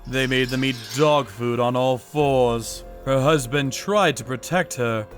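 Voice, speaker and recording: A man voicing a hardboiled male vigilante who narrates the story in a grim, steady manner in a manga dub. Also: medium pitch, measured pace, soft background music, lightly acted.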